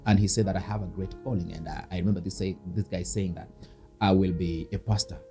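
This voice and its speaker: Low mellow Kenyan man, earnest and devout. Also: fairly even intonation, fast talker, faint music behind. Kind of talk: personal testimony in a conversational interview.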